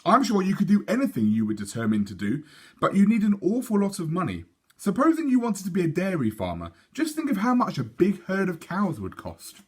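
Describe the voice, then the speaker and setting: A patient storybook father, read by a man, with lively, rising intonation. The character is practical and reasonable, gently questioning a child's plans. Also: high-pitched, a little fast, breathy edge, pitched above the performer's own voice, full character voice. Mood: gently doubting.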